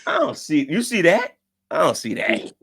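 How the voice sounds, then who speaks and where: A man co-hosting a casual sports podcast, relaxed and steering the topics, often repeating his words. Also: on the high side, moderate pace, breathy edge. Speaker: podcast co-host. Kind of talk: casual sports debate chat.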